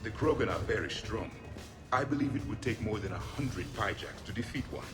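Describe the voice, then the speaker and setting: Ancient alien warrior for a game male actor: solemn, superior, low-pitched, formal delivery. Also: lively intonation, fairly quick, music in the background, close to an everyday voice. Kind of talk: video game character acting.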